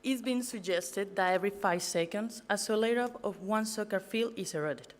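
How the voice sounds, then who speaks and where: A woman's voice: medium pitch, moderate pace. Earnest woman with a Colombian accent. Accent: Colombian Spanish. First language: Spanish. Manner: earnest, slightly nervous, stumbles on words. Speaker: guest speaker, master's student. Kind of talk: prepared public talk. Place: event venue.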